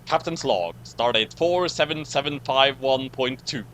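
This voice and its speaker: A man playing a formal, weary starship captain in a fan story reading, measured and solemn. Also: medium pitch, fairly bright tone, close to an everyday voice. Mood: formal, dry. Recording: noisy amateur recording with hum.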